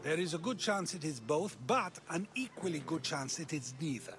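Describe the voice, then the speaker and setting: An actor playing a lofty male philosopher, measured and condescending. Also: fairly high pitch, expressive, music in the background, natural voice. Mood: musing.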